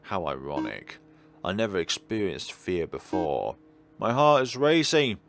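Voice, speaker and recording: A man reading out visual novel dialogue as a weary, ancient godlike being, wistful and gently teasing. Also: deep voice, sing-song, measured pace, gravelly, full character voice. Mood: wistful, anxious. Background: faint background game music.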